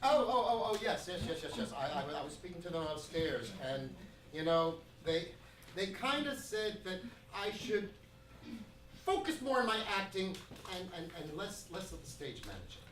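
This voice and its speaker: An actor playing a vain, self-important ham who stammers, in a theatrical stage comedy. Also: male voice, sits high, very animated, moderate pace, a bit bright, a little hiss or hum. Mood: smug. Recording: roomy stage, distant mic, audience.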